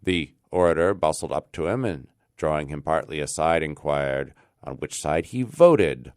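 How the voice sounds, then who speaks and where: An American man reads a classic tale as a storyteller, in an expressive, deliberate manner with dramatic emphasis. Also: rumbling low, no echo, clean studio sound. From United States. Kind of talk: scripted narration of a classic tale.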